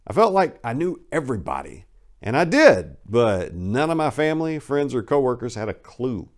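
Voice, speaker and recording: A man's voice: mid-range voice, big pitch swings, moderate pace. A man narrating a first-person story in a dramatic audiobook reading, with a blunt, streetwise, conversational manner.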